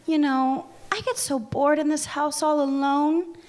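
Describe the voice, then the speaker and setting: A woman's voice: high voice, fairly even intonation, unhurried, a little mellow. An actress reading a seductive female vampire neighbor at a live table read, purring and mock-sweet. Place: live venue.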